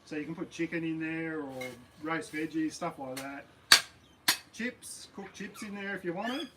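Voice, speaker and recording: A man with an Australian accent and a slightly croaky voice, chatting casually and rambling on a live stream from his backyard. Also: on the high side, normal speed, very noisy. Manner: rambling, relaxed, many ums and uhs. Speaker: camping channel host. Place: backyard outdoors.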